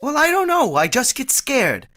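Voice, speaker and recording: A woman's voice: high voice, wildly swooping pitch, normal speed, fairly bright tone, full character voice. A woman playing a timid, tongue-tied young man in a vintage-style radio play. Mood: bashful. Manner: stammering, timid.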